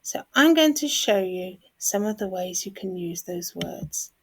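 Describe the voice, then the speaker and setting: A woman's voice: lowish voice, animated, a little slow, slightly rough, low-quality recording. A woman English teacher giving a grammar lesson to learners in a careful, instructional manner, stressing key words.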